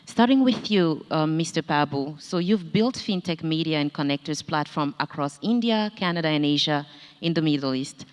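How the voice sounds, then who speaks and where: A woman's voice: sits low, expressive, normal speed. A woman from Gabon with a Gabonese French accent, moderating a conference panel in a formal, careful manner. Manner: formal, reads introductions carefully. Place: large conference hall. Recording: echoey hall, long reverb.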